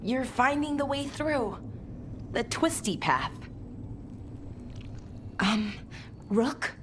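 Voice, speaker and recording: A woman voicing a gentle, hopeful young female adventurer in a fantasy video game, sincere and a little hesitant. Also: high-pitched, animated, drawn-out words, a little hiss or hum, full character voice. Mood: earnest, hopeful. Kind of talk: video game character acting.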